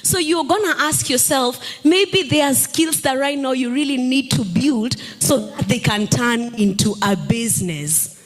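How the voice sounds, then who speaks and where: Slow-talking woman at a summit. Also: sits high, faint music behind. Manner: engaging, asks the audience questions. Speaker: panelist, personal branding coach. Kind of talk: panel talk to a live audience. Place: stage at a summit. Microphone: handheld mic.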